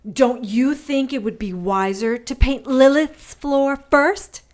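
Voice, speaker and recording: A woman's voice: sits high, takes it slowly, darkish tone. An actress playing a sardonic captive witch who teases and schemes, in an audio drama. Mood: wry. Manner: wry, teasing, sarcastic.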